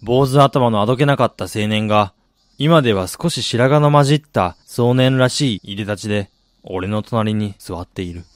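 An actor playing a weary middle-aged failed businessman, narrating his regretful past in a measured, reflective way for a radio drama. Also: on the low side, no room sound, clean studio sound, lightly acted. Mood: uneasy, reflective. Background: music.

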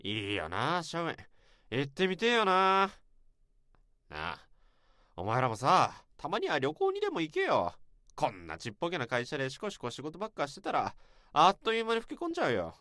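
An actor playing a blunt, rough-talking senior male office worker in a radio drama. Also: fairly high pitch, huge pitch swings, fairly quick, bright, forceful tone, no room sound, clean studio sound, full character voice. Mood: grumbling. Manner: blunt, gruff casual speech.

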